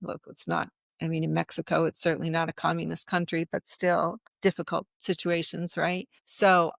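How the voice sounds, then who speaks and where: A man's voice: a little high, completely flat delivery, moderate pace, vocal fry, a bit bright, no room sound, studio quality. A man hosting an interview podcast, asking rambling, hedging questions in casual chat. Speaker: podcast host.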